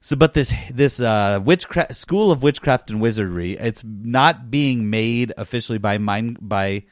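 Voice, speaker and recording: A man's voice: mid-range voice, measured pace, no echo. Casual male podcast host, dry studio sound. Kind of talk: casual gaming podcast chat.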